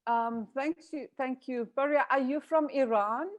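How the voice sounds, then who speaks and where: A woman's voice: high voice, unhurried. A woman from South Africa answering questions on a video call in an earnest, grateful way, with frequent restarts. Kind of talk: reflective panel discussion.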